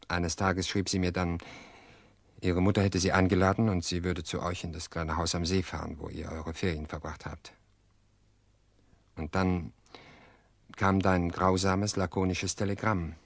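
A low, deep voice, close and dry, for a middle-aged widower in an audio drama. He speaks earnestly and wearily, weighing his words with reflective pauses. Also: steady tone, dry recording. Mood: resigned, reflective. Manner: measured, reflective, hesitant pauses. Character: weary, earnest widower confessing to his sister-in-law. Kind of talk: radio play dialogue.

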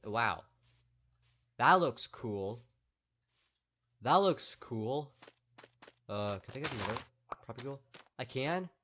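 A man's voice: fairly low pitch, a bit bright. A young man giving casual, upbeat gameplay commentary and thinking aloud with lots of fillers, his voice worn and strained from talking all day. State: voice tired and strained. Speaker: gaming YouTuber.

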